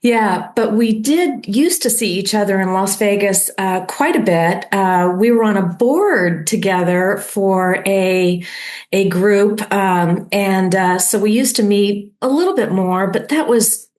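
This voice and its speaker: A middle-aged woman hosting a podcast interview, talking in a friendly, halting way with many fillers and restarts. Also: mid-range voice, a little mellow. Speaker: podcast host. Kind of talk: casual interview.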